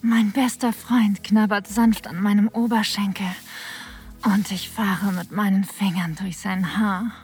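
An actress plays a sensual woman telling her own intimate story for an audio drama. She speaks very slowly in a breathy voice that often drops toward a whisper. Soft music runs behind her. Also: medium pitch, fairly even intonation, close to an everyday voice. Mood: sensual, aroused. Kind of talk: erotic audio drama narration.

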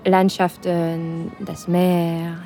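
Soft, mellow young Frenchwoman. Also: lowish voice, completely flat delivery, extremely slow, faint music behind. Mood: relaxed, inviting. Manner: hesitant German mixed with French words. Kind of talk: intimate audio drama dialogue.

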